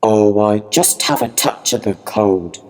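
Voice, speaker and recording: A woman voicing a sly, hungry storybook wolf who poses as a sweet grandmother in a croaky, cackly voice. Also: rumbling low, unhurried, darkish tone, pitched below the performer's own voice. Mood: sly, coaxing.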